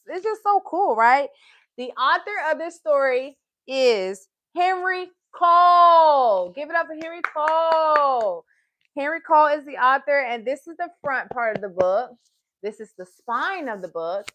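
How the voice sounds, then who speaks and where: A woman hosting a live story time for children, excitable and encouraging, often repeating phrases and addressing her listeners as friends. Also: high voice.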